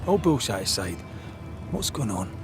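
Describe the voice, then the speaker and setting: An actor playing a wry, blunt ghost hunter in a video game, informal and sardonic. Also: male voice, fairly low pitch, big pitch swings, normal speed, over music. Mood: concerned, frank. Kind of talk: video game cutscene acting.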